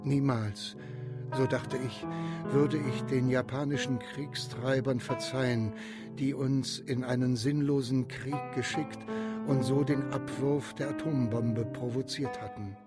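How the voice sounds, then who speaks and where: A man's voice: medium pitch, fairly even intonation, unhurried, over loud music, close to an everyday voice. An actor playing a grave, bitter man who recalls his wartime childhood, narrating a radio drama. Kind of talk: scripted first-person dramatic narration.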